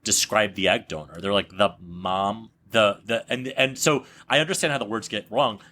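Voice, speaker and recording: A man's voice: fairly low pitch, normal speed, pushed and bright. A man hosting a podcast, asking his guests questions in a wry, teasing way. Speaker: podcast host. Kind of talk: casual chat.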